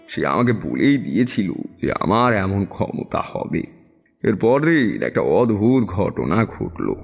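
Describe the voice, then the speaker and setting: A male actor playing a seasoned tantric, narrating an eerie story in a measured, confiding way. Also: medium pitch, creaky, big-room sound, full character voice. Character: seasoned male tantric recounting his eerie past adventures. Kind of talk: dramatic first-person horror storytelling.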